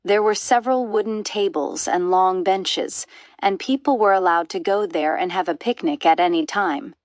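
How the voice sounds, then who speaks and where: A woman's voice: fairly low pitch, moderate pace, no room sound, clean studio sound. A woman narrating a children's storybook as an audiobook reader.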